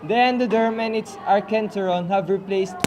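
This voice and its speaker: Man playing a high-pitched puppet doctor, noisy recording. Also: normal speed, natural voice. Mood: explaining. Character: doctor explaining embryo development in a puppet show. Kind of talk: scripted school puppet show explanation.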